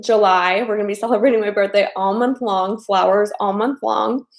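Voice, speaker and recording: A woman's voice: mid-range voice, fairly even intonation, normal speed. Chatty woman in her thirties, roomy echo. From American Midwest. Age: 30s. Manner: chatty, repeats phrases, many fillers. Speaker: dating coach hosting a live stream. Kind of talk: casual live-stream talk.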